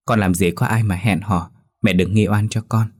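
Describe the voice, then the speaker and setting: A man voicing a timid young adopted daughter in a storybook, soft and pleading. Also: lowish voice, steady tone, normal speed, lightly acted.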